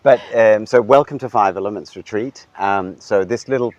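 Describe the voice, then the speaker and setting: A middle-aged man from Denmark, a hotel general manager, speaking thoughtfully in a relaxed interview, with frequent ums and restarts. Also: lowish voice, normal speed, dry recording, highs cut off. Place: hotel grounds.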